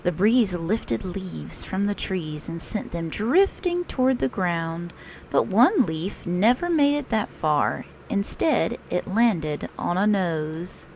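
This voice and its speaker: Lively woman reading a children's story, slightly gravelly. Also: mid-range voice, slow pace, slight background noise, rough recording. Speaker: storytelling teacher reading aloud. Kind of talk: read-aloud of a children's picture book, with questions to listeners.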